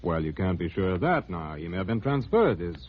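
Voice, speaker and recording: Evasive government man: deep voice, soothing, measured, 1950s radio drama, muffled noisy recording. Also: very animated. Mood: cautious. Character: evasive, placating male official just doing his job.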